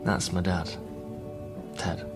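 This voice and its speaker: A man's voice: slow pace, close to an everyday voice. An actor playing a worried, guarded British man in a naturalistic radio drama, speaking in an earnest, hesitant way. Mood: guarded, subdued. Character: worried, guarded divorced son caring for troubled father. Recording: faint music under radio drama.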